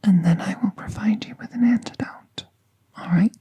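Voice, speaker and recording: A woman playing a gentle magical veterinarian in an ASMR roleplay, soothing and reassuring in a hushed, breathy voice. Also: mid-range voice, normal speed. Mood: calm, reassuring.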